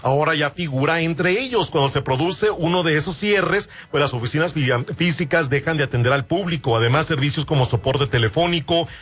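A man in his forties hosting a casual radio show in a relaxed way, with frequent short agreeing fillers. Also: fairly high pitch, a little fast, few pauses, husky. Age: 40s. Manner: relaxed, lots of "ujú" and "ya" fillers. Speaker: radio host.

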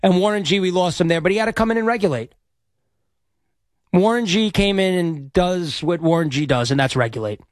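A male sports talk radio host whose voice sits notably high. He is cocky and opinionated, bragging freely between takes. The sound is close and dry, with no room around it. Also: a little fast, no echo. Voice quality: high-pitched voice. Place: radio studio.

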